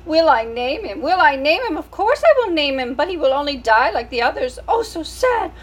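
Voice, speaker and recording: A woman's voice: sits high, big pitch swings, normal speed, pitched above the performer's own voice. A woman voicing a vain, dramatic French mother mouse, speaking with a French accent in a sighing, self-pitying way. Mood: theatrical.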